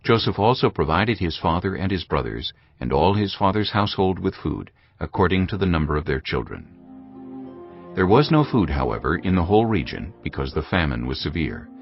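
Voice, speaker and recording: Deep creaky guy narrating the bible, lively intonation, soft music behind, bit muffled. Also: brisk. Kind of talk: dramatized scripture narration. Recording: dull lo-fi audio. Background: faint music.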